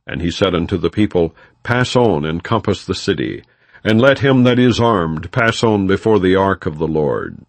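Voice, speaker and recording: Male narrator for scripture audiobook: low, gravelly voice, solemn and measured, recorded close and dry. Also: sing-song, dry recording. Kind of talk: scripted scripture narration, non-dramatized reading.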